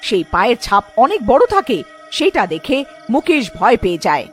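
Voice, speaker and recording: Woman narrator, scripted cartoon storytelling, very high pitch, lively intonation, music behind. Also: normal speed.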